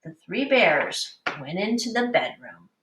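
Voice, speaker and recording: A woman teacher reading a picture book aloud to young children with deliberate, explanatory expression. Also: medium pitch, very animated, noisy background. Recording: echoey room, distant mic.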